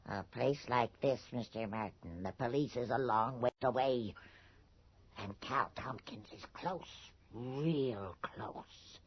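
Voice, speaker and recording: A woman's voice: very deep voice, slow pace, a little hiss or hum. An actress playing an anxious, gossipy rural townswoman in a 1980s radio horror drama, speaking in a folksy, ominous way. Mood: ominous warning. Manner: folksy ungrammatical speech, hushed and ominous. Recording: dull lo-fi radio drama recording.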